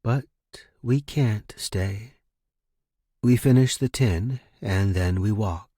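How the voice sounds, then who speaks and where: A man reading first-person fiction as a narrator, in a measured, deliberate and dramatic way. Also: on the low side, warm tone, breathy edge, dry recording, studio quality. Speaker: story narrator.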